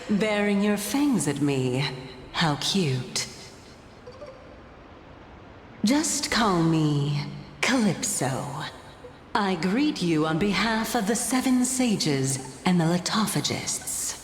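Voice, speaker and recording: Mysterious teasing sage lady from a game, real slow and smug-playful, echoey w music. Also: on the low side, very animated. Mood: teasing, amused. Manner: teasing, unhurried, theatrically poised. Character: playful, enigmatic female sage, teasing and unflappable. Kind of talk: video game voice acting. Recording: reverberant hall echo.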